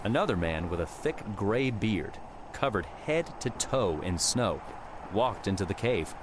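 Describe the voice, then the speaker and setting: A man's voice: lowish voice, animated, unhurried, background noise. A man reading scripted third-person fiction as an audiobook narrator. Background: prominent music with outdoor ambience.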